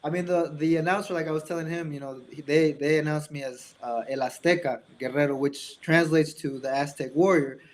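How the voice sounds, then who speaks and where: A man's voice: a little high, fairly even intonation, fairly quick, hardly any pauses, rough recording. A young man raised in Indiana with an American accent, talking casually as an interview guest and acting out bits of dialogue as he tells stories. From born in Mexico, raised in Indiana, United States.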